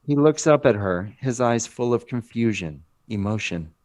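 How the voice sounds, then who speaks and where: A man narrating a screenplay aloud in a dramatic, deliberate scripted style. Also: medium pitch, expressive, darkish tone.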